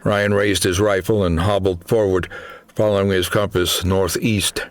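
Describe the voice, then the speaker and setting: A man with a low voice narrates a suspenseful action story. His delivery is deliberate and dramatic, like a scripted thriller audiobook. The sound is dry, with hardly any room in it. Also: little pitch movement, no room sound.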